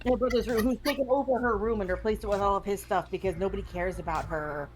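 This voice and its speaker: A woman's voice: mid-range voice, quick pace, a little hiss or hum, low-quality recording. A woman chatting and bantering casually on a stream.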